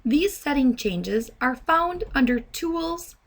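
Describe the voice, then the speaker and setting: Woman doing a software how-to, highish voice w/ some vocal fry, deliberate and instructional. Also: lively intonation. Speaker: software trainer, presenter. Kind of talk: step-by-step tutorial narration. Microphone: handheld dictation microphone.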